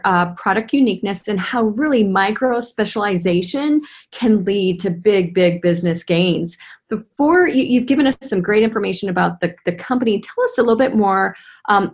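A woman's voice: medium pitch, normal speed. A woman who hosts a business podcast, introducing her guest from a prepared script and then asking chatty, thoughtful questions. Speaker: podcast host. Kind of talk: hosted business interview.

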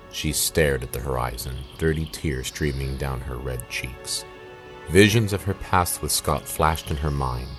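A man narrating a scripted audio drama in a dramatic, suspenseful storytelling manner. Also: very deep voice. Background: music.

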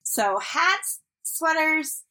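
A woman's voice: high-pitched, lively intonation, extremely slow, sharp tone. A woman co-hosting a casual podcast, chatting in an upbeat, rambling way with plenty of fillers. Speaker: podcast co-host. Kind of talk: casual chat.

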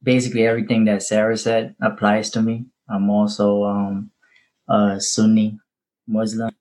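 A man's voice: fairly low pitch, flat delivery, moderate pace, darkish tone, rough recording. A man guest who speaks unsurely and trails off.

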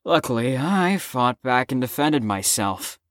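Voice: medium pitch, animated, a little slow, a bit bright, no echo, studio quality. An actor in an audio drama playing a stubborn, sarcastic young patient who is worn out from lack of sleep. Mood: manic, boastful. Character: flippant, exhausted, stubborn young patient called Storm.

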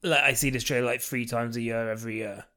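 A man's voice: medium pitch, fairly even intonation, fast, bright, forceful tone. A man co-hosting a podcast in casual chat, wry and rambling as he airs his opinions, with many ums and likes. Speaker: podcast co-host.

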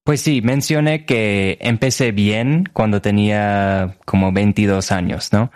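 This is a man in his thirties with a fairly low voice. He speaks slowly and haltingly in casual conversation, searching for words. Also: steady tone, darkish tone. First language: English.

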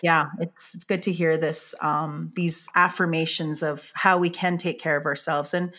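A woman's voice: sits low, level tone, normal speed. A woman hosting an interview, affirming her guest and sharing her own experience in a casual chat. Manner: warm affirming, lots of backchannels. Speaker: podcast host.

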